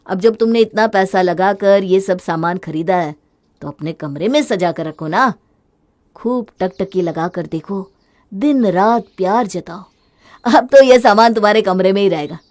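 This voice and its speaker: A woman's voice: mid-range voice, a little slow, a little mellow, voice pushed down, natural voice. A woman doing a fed-up mocking husband. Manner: mocking, sarcastic. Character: fed-up young husband, mocking his wife. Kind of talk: storybook voice acting.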